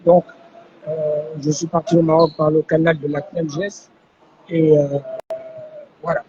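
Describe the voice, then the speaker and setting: Man, interviewee, high warm voice, lo-fi phone with faint ambience. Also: little pitch movement. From Gabon. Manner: measured, explains a grievance step by step. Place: airport. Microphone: host's phone. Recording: muffled phone audio with ambient noise.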